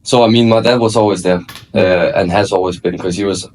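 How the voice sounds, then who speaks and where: A young Danish man in his early twenties sits in as a podcast guest. His voice sits very low, and he talks in a loose, unhurried way, filling gaps with ums and small restarts. A faint background hum runs under the talk. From Denmark. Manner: relaxed, hesitant, many fillers and restarts. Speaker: guest, college soccer player. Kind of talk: casual interview chat.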